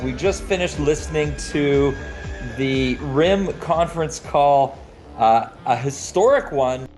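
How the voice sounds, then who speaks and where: Guy hosting a podcast on a skype call, chatty and informal, normal pitch. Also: a little mellow. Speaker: podcast host. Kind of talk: casual video podcast talk. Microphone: Skype video call.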